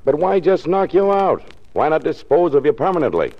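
A man's voice: a little high, big pitch swings, fairly quick. An American actor playing a confident, businesslike lawman in a 1940s radio drama. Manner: businesslike, assured. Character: brisk, confident male lawman. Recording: muffled 1940s radio recording.

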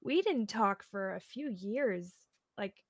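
A woman in her twenties, a podcast guest, chatting in a relaxed and reflective way. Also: mid-range voice, slow, dry recording, clean studio sound. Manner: relaxed, reflective, lots of short agreeing replies. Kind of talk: casual chat.

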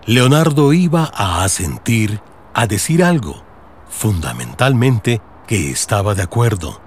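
A man's voice: on the low side, big pitch swings, unhurried, slight background noise. A man with a Colombian accent narrating a dramatized audiobook in the style of a radio serial. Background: music under the voice.

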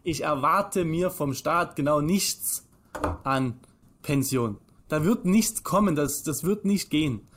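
A man's voice: a little high, expressive, slow, a bit bright, slight background noise. A man in his early thirties with an Austrian German accent, explaining things in an emphatic, instructive conversational way. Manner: emphatic, instructive, poses and answers own questions. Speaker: financial advisor, interview guest.

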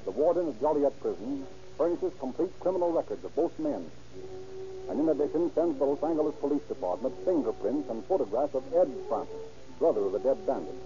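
A man giving formal, matter-of-fact scripted narration in an old radio crime drama. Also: medium pitch. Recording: dull old radio recording with music.

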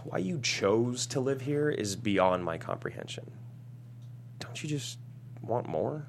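A man's voice: medium pitch, very animated, takes it slowly, gravelly, slight background noise, full character voice. A man playing a cocky, sarcastic runaway rock musician at a script table read, with wry delivery. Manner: wry, sardonic.

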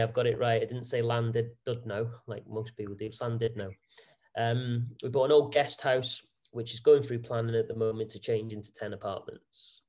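A man talks through his property business as an interview guest. His speech is chatty and relaxed, with frequent fillers and restarts as he explains things, and his voice sits at a middle pitch for a man. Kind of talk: conversational interview answers.

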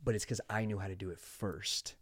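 A man's voice: on the low side, brisk, breathy edge, no room sound, clean studio sound. A man in his twenties hosting a podcast, telling stories in an earnest, rambling way with many restarts. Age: 20s. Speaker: podcast host. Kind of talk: casual interview chat.

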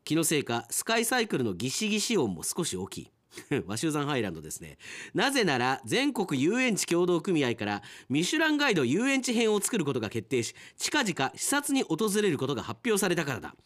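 Animated male radio host. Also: on the high side, fairly quick. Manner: chatty, commenting on the stories he reads. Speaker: radio announcer hosting an after-show talk. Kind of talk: casual podcast after-talk, reading listener mail.

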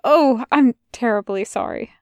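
A woman voicing a fussy, excitable male angel bookseller who chatters eagerly and gets flustered. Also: fairly high pitch, a little slow, no echo, studio quality.

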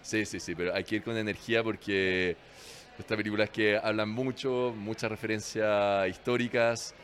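Guy w a low voice, laid back interview chat, keeps restarting words and laughing. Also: moderate pace, a little hiss or hum. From France. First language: French. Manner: relaxed, chuckling, restarts words often. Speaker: bar general manager, guest.

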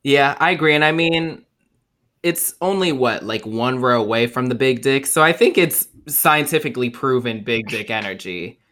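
A man co-hosting a casual podcast, talking in an animated way and joking crudely. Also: mid-range voice, normal speed. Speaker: podcast co-host.

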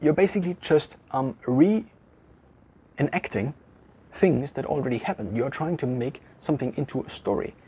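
A German-accented man talks alone to a camera in an empty room, working through his thoughts in a loose, conversational way. His voice is strongly husky, and he often stops mid-phrase to restart or correct himself. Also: mid-range voice, expressive, warm tone. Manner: thinking aloud, halting with restarts and self-corrections. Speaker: vlogger. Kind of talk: casual reflective monologue to camera.

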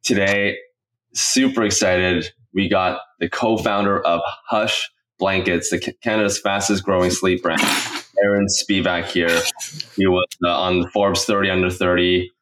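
A man's voice: low voice, little pitch movement, measured pace, a bit bright, a little hiss or hum, low-quality recording. A man hosting a podcast in an informal, enthusiastic way, talking straight to his listeners. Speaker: podcast host. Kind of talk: upbeat podcast intro and casual interview.

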